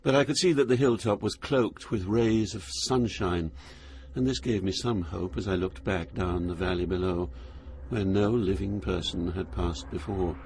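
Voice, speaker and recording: Low-voiced, solemn pilgrim narrator. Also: male voice, a little mellow. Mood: reflective, fearful. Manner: solemn, measured storytelling. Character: reflective male pilgrim poet recounting his journey. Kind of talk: dramatized scripted first-person narration. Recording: clear background noise, ambience.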